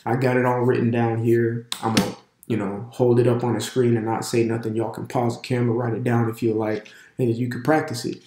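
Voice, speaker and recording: Man, stuffy nose, casual teacher, rambling and loose, middle-pitched, echoey room. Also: flat delivery, fairly quick, a little mellow. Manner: loose and conversational, many fillers and restarts. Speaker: religious language teacher. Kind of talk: casual video lesson.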